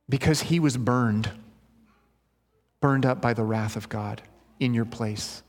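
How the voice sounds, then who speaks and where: A man's voice: mid-range voice, slow pace. A male pastor preaching a sermon, reading scripture and then explaining it in an earnest, conversational way. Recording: long hall reverb.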